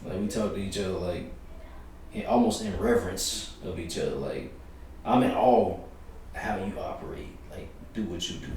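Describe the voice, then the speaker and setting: A man hosts a podcast in a low voice, telling stories at an easy pace. He sounds far from the mic in a very echoey room with faint hiss. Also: moderate pace. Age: 30s. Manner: relaxed, storytelling with quoted voices. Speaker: podcast host. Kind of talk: casual chat. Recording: roomy echo, distant mic, faint hiss.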